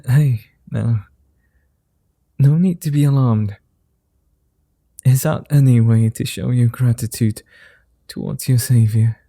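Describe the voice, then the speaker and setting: A man playing a suave, flirty vampire in an ASMR roleplay, speaking softly in courtly, formal phrases with a gentle, teasing manner. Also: mid-range voice, no echo, studio quality. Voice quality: soft, dark, breathy. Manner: courtly formal wording, unhurried pauses, teasing.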